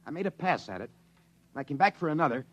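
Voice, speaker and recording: An earnest, shaken test pilot in a fifties radio drama. He speaks in urgent, halting bursts and insists on his story. The sound is muffled, like an old broadcast. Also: on the high side, very animated, a bit bright. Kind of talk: 1950s radio drama acting. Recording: dull, band-limited old radio recording.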